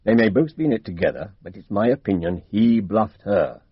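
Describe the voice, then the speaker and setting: An actor playing a blunt, down-to-earth British police inspector in a radio mystery, speaking colloquially and with stubborn confidence. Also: male voice, lowish voice, animated, fairly quick, husky, no room sound. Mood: briskly confident. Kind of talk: radio drama acting. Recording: dull, dry radio drama recording.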